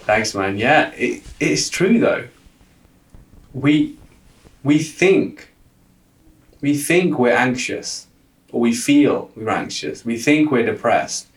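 A man's voice: mid-range voice, expressive, slow, pauses often. A young man who stutters, talking casually as a guest in an interview, with frequent repeated words. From lives in London. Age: young adult. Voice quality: stutter, frequent word repetitions. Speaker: guest, life coach. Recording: roomy, distant from the mic.

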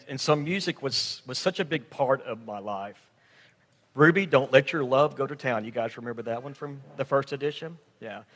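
A man's voice: mid-range voice, fairly bright tone. A middle-aged man giving an informal, folksy talk to a congregation, often checking in with his listeners. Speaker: guest speaker at church. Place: large hall, church service. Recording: echoey hall with long reverb.

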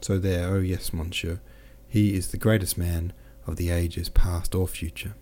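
A man's voice: sits low, little pitch movement, a little slow, darkish tone. A man voicing a jovial, boastful French army captain who chatters in exclamations.

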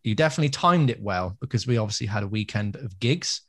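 A man's voice: lowish voice, expressive, fast talker. A man co-hosting a podcast, chatting casually and explaining things for listeners. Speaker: podcast co-host. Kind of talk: casual chat.

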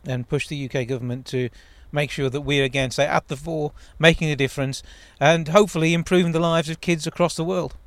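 Radio host guy, clear smooth voice, kinda high, steady questions. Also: brisk, few pauses, no room sound. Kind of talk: radio interview.

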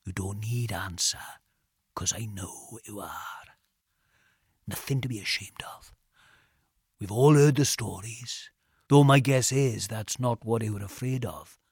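A man's voice: mid-range voice, measured pace, vocal fry, darkish tone, slightly rough, no room sound, clean studio sound. A man voicing a menacing British hard lad in an audiobook, taunting and lingering, often dropping to a sinister whisper. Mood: hushed, menacing. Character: menacing, taunting male hard lad leading frightened boys.

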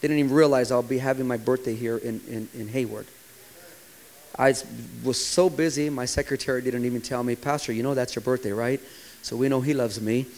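A man in his forties, a pastor preaching a sermon with impassioned delivery that sometimes drops to a hushed, quiet tone. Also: medium pitch, fairly even intonation, fairly quick. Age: 40s.

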